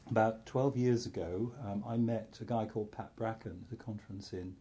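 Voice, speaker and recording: A middle-aged psychiatrist from North Wales giving a candid, self-deprecating talk to an audience, full of restarts and asides. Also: male voice, lowish voice, steady tone, moderate pace, dark tone, husky.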